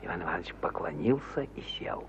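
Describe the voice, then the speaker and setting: A wry storyteller narrates an old radio play with theatrical relish. His husky voice moves animatedly into mock-admiring declamation, on a dull, muffled vintage recording. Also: sits low, expressive, fast talker, a bit bright, heavily acted. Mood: wry narration. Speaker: author-narrator in an audio play.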